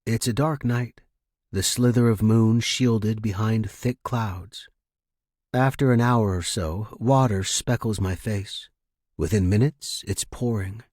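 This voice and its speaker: A man reading first-person fiction as a narrator, in a measured, deliberate and dramatic way. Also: lowish voice, a little mellow, dry recording, studio quality. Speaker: story narrator.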